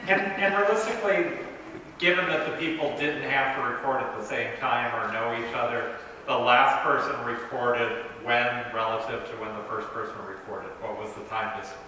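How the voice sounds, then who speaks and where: A man's voice: medium pitch, fairly even intonation, normal speed, bright, forceful tone. A man casually putting questions to a panel from the audience. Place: convention panel room, away from the mic. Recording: very echoey hall, distant mic, noise.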